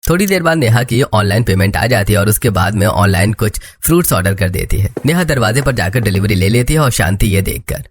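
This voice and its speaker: A man's voice: on the low side, animated, brisk, few pauses, slight background noise. A man narrating a scripted cartoon story as its storyteller.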